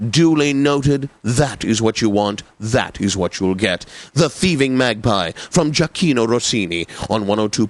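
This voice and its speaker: Game radio host, male actor: mid-pitched man, pompous and whimsical, theatrical classical-music announcing, very dry booth sound. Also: no echo, natural voice. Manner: pompous, flamboyant, precise announcing.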